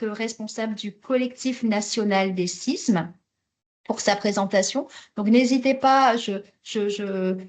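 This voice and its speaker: Higher-pitched woman presenting, halting delivery. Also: darkish tone. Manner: hesitant, halting delivery with repeated words. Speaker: webinar presenter for a health network. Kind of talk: semi-scripted webinar presentation with slides.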